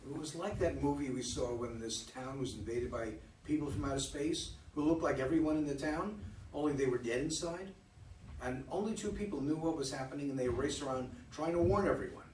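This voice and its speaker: A man's voice: a little high, a little fast, darkish tone, natural voice. Man playing an earnest former fiancé, distant roomy sound. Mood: reflective, frustrated. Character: earnest, disillusioned man explaining himself to his former fiancée. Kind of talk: dramatic stage play reading. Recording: roomy, distant microphone, faint noise.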